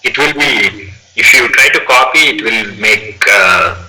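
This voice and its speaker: A man speaks in a very bright, sharp voice pitched a little low. He chats casually as a learner practising conversation. His manner is agreeable, often echoing phrases back. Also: animated, a little slow, slight background noise. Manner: agreeable, echoes the other speaker. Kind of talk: casual discussion.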